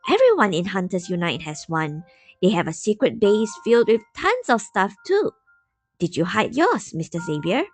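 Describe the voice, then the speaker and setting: A woman's voice: mid-range voice, very animated, normal speed, faint music behind. A woman voicing an eager, wide-eyed seven-year-old boy in a storybook reading. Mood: earnest.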